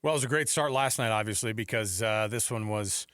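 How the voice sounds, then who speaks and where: A man hosting a sports radio talk show in an informal, slangy, animated way. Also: lowish voice, a little fast, no room sound, studio quality.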